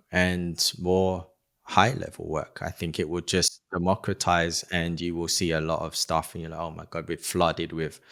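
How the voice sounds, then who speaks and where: A man hosting a podcast interview, speaking reflectively and asking long, searching questions with some "um" fillers. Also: sits low. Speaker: podcast host. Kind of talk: casual conversational interview.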